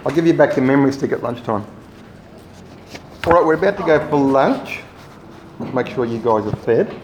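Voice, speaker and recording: A man running a training workshop, giving relaxed, rambling instructions to the group. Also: medium pitch, normal speed. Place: meeting room. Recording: echoey room, faint noise.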